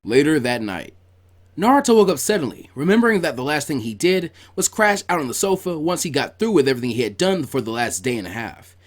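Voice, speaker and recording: A man reading a scripted story aloud like an audiobook, in an animated, expressive manner. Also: on the high side, fast. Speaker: fan fiction reader, narrator.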